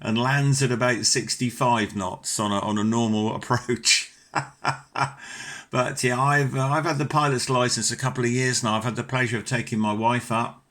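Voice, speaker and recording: A British man with a notably bright, crisp-edged voice talks over amateur radio. He is chatty and courteous, with open enthusiasm as he carries on a conversational exchange. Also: medium pitch, steady tone, moderate pace. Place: home ham radio station.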